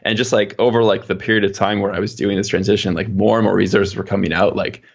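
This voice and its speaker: A man with a lowish, gravelly voice talks at a rapid clip in a casual interview. He rambles informally as he explains things. The recording is dull and lo-fi, like muffled call audio. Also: steady tone. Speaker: podcast guest, software engineer.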